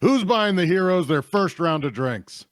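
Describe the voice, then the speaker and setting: The wide-eyed local official greeting adventurers in a fantasy audio drama, lively in pitch, closely recorded. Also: male voice, measured pace, no echo, clean studio sound. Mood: celebratory. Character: astonished male town official, plain-spoken and welcoming. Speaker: actor at a table read.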